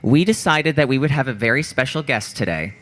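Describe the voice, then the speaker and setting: A man's voice: medium pitch, lively intonation, fairly quick, a bit bright. A man co-hosting a casual live podcast, interviewing and introducing in a playful, teasing way. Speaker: podcast co-host. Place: live show in front of an audience. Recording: live show with faint background noise.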